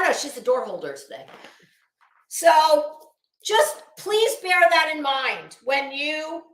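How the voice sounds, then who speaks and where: A woman's voice: high voice, a bit bright, reverberant, poor audio. A woman doing casual livestream commentary as host, blunt, salty and emphatic, with a disdainful edge.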